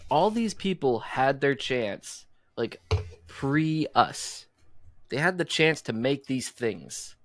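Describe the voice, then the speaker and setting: A man's voice: mid-range voice, big pitch swings, slow, a bit bright. A man co-hosting a casual podcast, goofy and joking, throwing in quips and tangents. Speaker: podcast co-host. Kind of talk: casual chat. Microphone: video call setup on camera.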